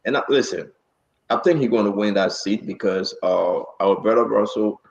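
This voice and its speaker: A man's voice: on the low side, moderate pace, creaky, muffled recording. A man hosting a casual live talk show, often repeating phrases for emphasis. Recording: roomy, echoing sound.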